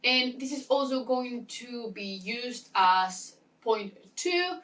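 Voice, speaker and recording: A woman talking casually to camera as a book vlogger, chatty and excitable, often interrupting herself with asides. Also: on the high side, slow, recorded from a distance, low-quality recording. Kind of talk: casual chatty reading-plan talk to camera.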